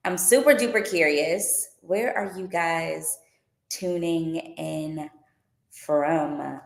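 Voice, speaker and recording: A woman's voice: deep voice, drawn-out words, gravelly. A woman hosting a casual livestream Q and A about her tours, chatty and upbeat, with frequent fillers. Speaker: tour organizer and boutique owner. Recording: echoey room.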